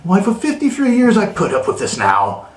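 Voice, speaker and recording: A man's voice: high voice, huge pitch swings, moderate pace, pitched above the performer's own voice. A man voicing a sour, scheming storybook Grinch, echoey. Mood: exasperated. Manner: snarling, exaggerated storybook villain delivery. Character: sour, scheming old Grinch who hates Christmas. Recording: roomy echo, distant mic.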